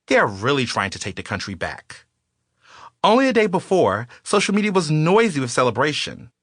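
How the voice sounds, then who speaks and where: A man's voice: a little high, a bit bright, no echo, clean studio sound. Man narrating his own audiobook.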